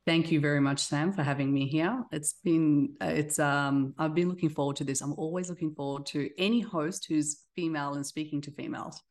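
A woman's voice: sits low, fairly even intonation, brisk, hardly any pauses, darkish tone. A middle-aged woman with an Australian accent, a company CEO chatting as a guest on a podcast, friendly and full of fillers. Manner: friendly, with fillers and short laughs. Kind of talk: casual interview chat.

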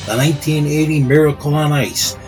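A man's voice: on the high side, a little fast, low-quality recording. A middle-aged or older man, a podcast host, narrating a scripted promo for his show. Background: clear music.

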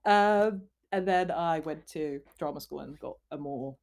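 A woman's voice: sits low, unhurried. A young woman from Finland and Oxford, chatting casually and animatedly as an interview guest, with a self-deprecating manner. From Finland and Oxford, England. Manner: animated, self-deprecating, acts out imagined quotes. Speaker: interview guest, actor and writer.

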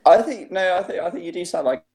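Mellow-voiced English teenage podcaster. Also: male voice, high voice, expressive, fast, breathy edge. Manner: laughs a lot, swears casually, rambling. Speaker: podcast host. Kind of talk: casual comedy podcast chat. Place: home during quarantine.

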